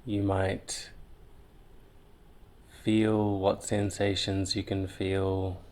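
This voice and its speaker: Very slow, low-pitched man guiding meditation. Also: monotone, darkish tone. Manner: soothing, unhurried with long pauses. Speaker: guided meditation leader. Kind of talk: guided visualization, meditative narration.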